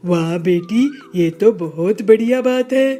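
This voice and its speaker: A woman voicing a kind old grandfather bird in a cartoon, speaking gently and patiently. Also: mid-range voice, slow, lightly acted. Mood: gentle.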